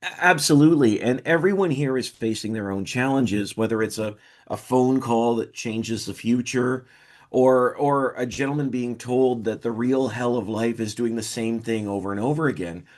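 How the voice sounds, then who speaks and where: A man's voice: mid-range voice, normal speed. A man hosting a film interview, putting his questions in an appreciative, considered way.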